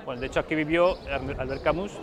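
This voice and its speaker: A man's voice: a little high, quick pace, crowd noise. A man from Spain with a Spanish accent, giving a casual, explanatory guided tour outdoors as a featured guest. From Spain, Aragon. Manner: conversational, explanatory. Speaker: featured guest showing the area. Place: outdoors, airfield and village streets.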